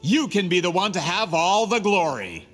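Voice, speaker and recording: A man's voice: sits high, very animated, moderate pace. A man voicing a greedy, scheming male printing merchant in a game cutscene, smug and scornful. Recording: game audio with reverb and music.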